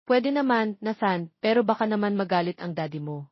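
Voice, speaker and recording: Woman playing earnest, anxious young Filipino man, audio romance; husky voice, dry recording. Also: mid-range voice, expressive, fairly quick, dry recording, close to an everyday voice. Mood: gentle. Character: earnest, anxious young bachelor, hopeful new father. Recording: dull, lo-fi but dry recording.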